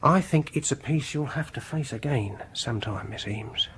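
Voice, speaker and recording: An actor playing a reserved, quietly insistent music lover in a British radio horror drama, speaking with a British accent in a formal, hesitant, measured way. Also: male voice, medium pitch, husky.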